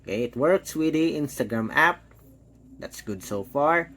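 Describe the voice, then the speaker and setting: Guy doing a casual gadget demo, really slow pace, short halting phrases, medium pitch. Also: expressive, a bit bright. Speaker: product reviewer.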